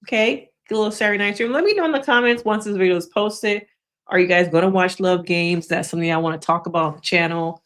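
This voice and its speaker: A woman's voice: lowish voice, fast talker. A woman hosting a casual live stream, chatting frankly with viewers, laughing often, and losing her voice.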